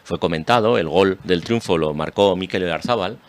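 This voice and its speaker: A man's voice: low-pitched, normal speed. A man with an accent from Spain, hosting a panel discussion and speaking emphatically, often repeating phrases. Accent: Spanish from Spain. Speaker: host.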